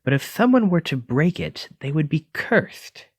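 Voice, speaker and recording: A man's voice: on the high side, big pitch swings, unhurried, creaky, husky, dry recording, clean studio sound, full character voice. A man voicing a clumsy, kindly wizard in an ASMR roleplay, courteous and apologetic, stammering when flustered. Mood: alarmed. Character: clumsy, kindly wizard bookseller teaching magic. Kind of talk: ASMR roleplay addressed to listener.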